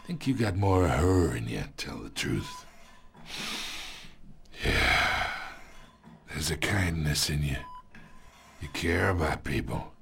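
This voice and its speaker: A man playing an ailing, menacing old crime boss in a video game, gravelly and sardonic, with slow street-tough taunts. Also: extremely low pitch, animated, full character voice. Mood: wry, reflective. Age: older. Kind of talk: video game drama acting. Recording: loud music underneath.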